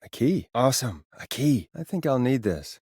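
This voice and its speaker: An actor voicing a wry, harried late-night radio DJ in a video game, with a dry, sardonic and expressive delivery. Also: male voice, medium pitch, moderate pace, slightly rough, dry recording. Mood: muttering, matter-of-fact. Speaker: radio show host. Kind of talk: video game voice acting, radio patter and reactions.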